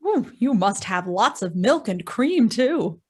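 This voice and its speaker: A woman's voice: on the high side, very animated, takes it slowly, husky, exaggerated voice. A woman playing an eager, wide-eyed questioner in an old-time radio advert, full of exclamations. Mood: eager, amazed. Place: home, live online video performance.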